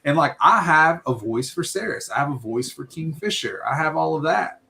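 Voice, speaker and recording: A man's voice: fairly high pitch, lively intonation, normal speed, creaky, bright, forceful tone. A man co-hosting a podcast, chatting casually about a book and often ending his thoughts with "right?". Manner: rambling, many fillers and "right?" tags. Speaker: podcast co-host. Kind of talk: casual book-club chat.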